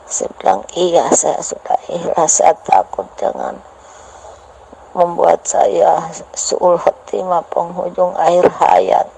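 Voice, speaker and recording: A woman's voice: on the low side, slow, creaky, mellow, slight background noise, low-quality recording. A woman in a voice message, hesitantly telling a teacher about her personal experiences and asking for advice.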